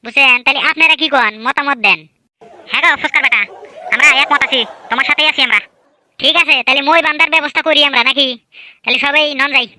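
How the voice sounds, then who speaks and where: Bright, high woman with a rural Bangla dialect. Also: fast talker, no echo, a faint crowd. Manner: lively, boastful, teasing village-kid banter. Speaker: cartoon voice artist and narrator. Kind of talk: comedic cartoon voice acting.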